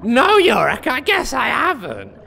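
Shrill, gravelly, foul-mouthed little gnome. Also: male voice, wildly swooping pitch, a little slow, bright, forceful tone, faint music behind, heavily acted. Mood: sarcastic. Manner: crude, mocking, sweary. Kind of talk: comedic fantasy audio drama. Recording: echoey reverberant room with some noise.

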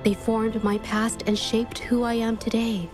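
Woman acting earnest, gentle young painter, slightly high voice. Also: flat delivery, measured pace, over music, lightly acted. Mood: earnest, resolute. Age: young adult. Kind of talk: dramatic game dialogue and reflective narration.

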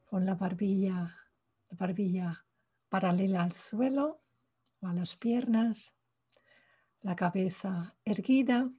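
A woman leading a guided meditation in a soft, breathy voice, calm and hushed, pausing between short phrases. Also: mid-range voice. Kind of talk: guided meditation, slow spoken instructions.

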